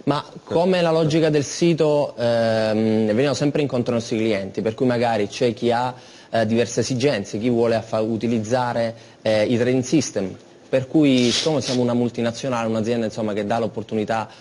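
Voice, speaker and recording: Man, young adult, mid-pitched, studio interview guest, eager, frequent restarts. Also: fairly even intonation, normal speed, darkish tone. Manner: eager, many restarts and fillers. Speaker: company representative guest.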